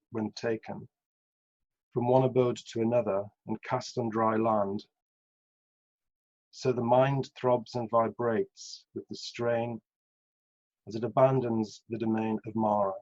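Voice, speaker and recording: A man reading scripture aloud in a measured way. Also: medium pitch, little pitch movement, darkish tone. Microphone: video call over Zoom. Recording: dull, lo-fi video call.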